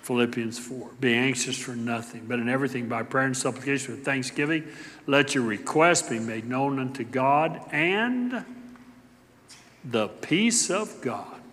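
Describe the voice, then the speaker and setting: A middle-aged man preaching a sermon to a church congregation, talking emphatically and swinging between loud declarations and hushed asides. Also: medium pitch, unhurried, long reverb tail. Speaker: pastor. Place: church sanctuary.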